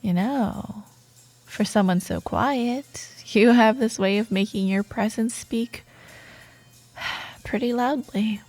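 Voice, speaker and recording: A woman playing a proud, teasing yet wistful rival coworker in an intimate ASMR romance roleplay monologue, with playful banter, sighs and soft pauses. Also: on the high side. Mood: teasing, softening. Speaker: audio roleplay performer.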